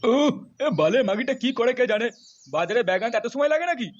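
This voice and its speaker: A man's voice: very high voice, lively intonation, quick pace. A man voicing a crude, impatient, bad-tempered husband in a comedy cartoon, with muffled lo-fi sound. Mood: irritated, sarcastic. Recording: muffled lo-fi audio with faint noise.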